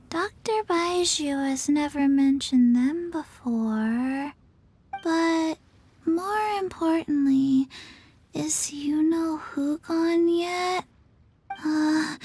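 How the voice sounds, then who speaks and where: A timid little undead girl for a video game. The voice is high and childlike, extremely slow and halting, with faint ambient noise behind. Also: a little mellow.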